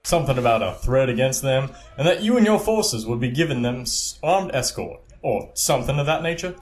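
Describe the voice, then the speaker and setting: An actor playing a dutiful army captain in an audio drama, polite and slightly unsure. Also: male voice, medium pitch, expressive, fairly quick, lightly acted. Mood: polite, puzzled.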